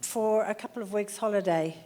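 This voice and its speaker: A middle-aged woman with a New Zealand accent, chatting warmly with plenty of ums in a church interview. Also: medium pitch, brisk. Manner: warm and chatty, with many ums. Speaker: social worker. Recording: echoey hall with long reverb.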